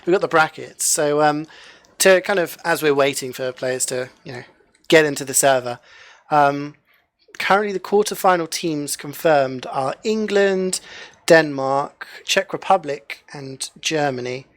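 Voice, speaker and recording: A man casting a live online game match in a relaxed, chatty way, leaning on filler phrases and light jokes. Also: fairly high pitch, measured pace, no echo. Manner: chatty, heavy use of "you know" fillers, joking. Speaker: esports caster. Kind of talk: casual live game commentary.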